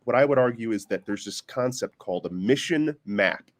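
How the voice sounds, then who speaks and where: A man's voice: mid-range voice, lively intonation, normal speed. A man on a discussion panel explaining his view conversationally, often searching for words and posing questions as examples.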